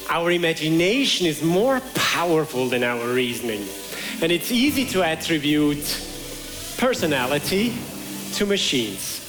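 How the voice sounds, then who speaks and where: A man giving a theatrical stage presentation in a theatre, speaking in measured, deliberate phrases with dramatic pauses. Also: a little high. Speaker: stage magician and technologist. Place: theatre stage before a live audience. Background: music.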